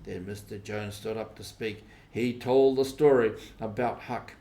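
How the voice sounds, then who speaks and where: A man reading a storybook as scripted narration, in deliberate, separated phrases. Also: on the low side, fairly even intonation, gravelly, warm tone. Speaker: audiobook reader. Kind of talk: scripted storybook narration, simplified classic novel.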